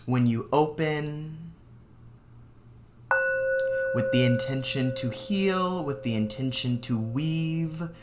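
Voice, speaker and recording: Meditation guide, soothing and very slow, short spaced phrases over prominent music. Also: mid-range voice. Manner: soothing, unhurried, with long pauses between short phrases.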